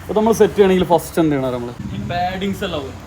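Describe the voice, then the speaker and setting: A man's voice: high-pitched, animated, very fast, mellow, slight background noise, rough recording. A man, a bike mechanic, walking step by step through fitting a helmet headset outdoors. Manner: methodical, walks through each step. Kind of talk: step-by-step installation guide.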